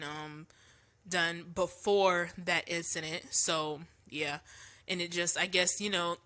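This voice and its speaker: A woman with a lowish, bright, forward voice, a little stuffy from allergies. She talks in a loose, slangy, opinionated way, with plenty of ums as she goes. Recorded at home for her podcast. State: congested from allergies. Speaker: podcast host. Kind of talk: casual opinion monologue.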